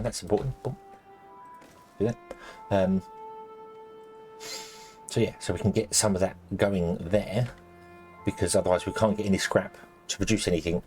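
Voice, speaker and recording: A man with a low, slightly croaky voice, rambling through gameplay commentary with plenty of ums and restarts. Soft game music plays under his desk-mic narration. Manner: rambling, lots of ums and self-corrections. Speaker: let's play host.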